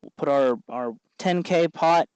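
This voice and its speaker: Clear-voiced man giving a casual hands-on tutorial. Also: a little high, measured pace, a little mellow, no room sound. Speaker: host of a DIY electronics tutorial. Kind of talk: casual hands-on tutorial, explaining while working.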